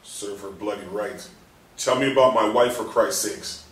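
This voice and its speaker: An actor playing an angry, frustrated hospital patient in a stage play reading, anguished, demanding and given to swearing. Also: male voice, on the low side, moderate pace, a bit bright, close to an everyday voice. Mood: bitter anger. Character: angry, frustrated male hospital patient trapped after a coma. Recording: roomy distant recording with faint noise.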